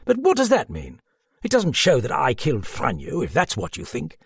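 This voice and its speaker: Man acting an indignant, snappish suspect, pitch raised. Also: huge pitch swings, moderate pace, gravelly, a little hiss or hum. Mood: angry, defensive. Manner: snappish, protesting. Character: angry, defensive male murder suspect, indignant.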